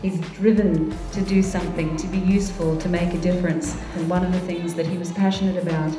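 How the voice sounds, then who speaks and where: A woman narrating a man's story slowly and evenly. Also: on the low side. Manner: slow and even. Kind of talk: scripted narration. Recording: roomy voice-over with faint music.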